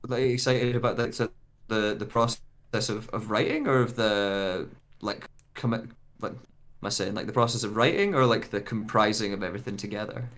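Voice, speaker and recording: A man chatting casually as a guest on a livestream, halting and often repeating his words. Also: on the low side, steady tone, a bit bright. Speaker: guest actor. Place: remote internet call.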